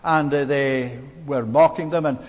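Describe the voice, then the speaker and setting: Scottish preacher in a reverberant hall. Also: male voice, mid-range voice, fairly even intonation, measured pace. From Scotland. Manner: earnest, emphatic, repeats words for stress. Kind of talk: expository sermon. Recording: long reverb of a large hall.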